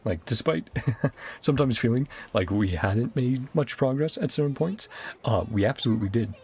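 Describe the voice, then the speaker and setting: A man's voice: medium pitch, expressive, normal speed, darkish tone, breathy edge. A man casually chatting as a livestream game host, rambling and enthusiastic in his commentary. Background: game music.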